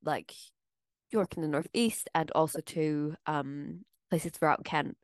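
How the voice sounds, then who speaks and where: A woman's voice: sits low, slow pace, no echo, studio quality. A woman co-hosting a casual podcast, a theatre maker talking through her plans in a thoughtful, explaining way. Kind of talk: casual chat.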